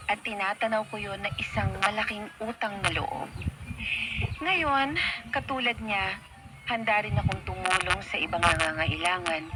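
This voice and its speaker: A woman's voice: medium pitch, natural voice. An actress with a Filipino accent playing an earnest, grateful young woman college student in a radio drama. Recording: very noisy radio drama broadcast.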